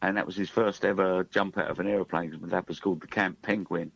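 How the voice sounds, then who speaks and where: A man's voice: sits low, steady tone, fast talker, vocal fry, fairly bright tone, slightly rough, muffled recording. A middle-aged man, a singer-songwriter guest, chatting casually in a radio studio interview.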